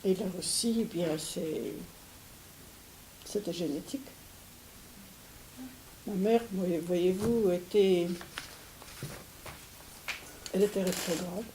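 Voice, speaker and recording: An older woman from Syria giving an interview, speaking hesitantly with many restarts and soft laughs. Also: fairly low pitch, slow pace, pauses often, slight background noise, poor audio. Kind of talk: recorded interview.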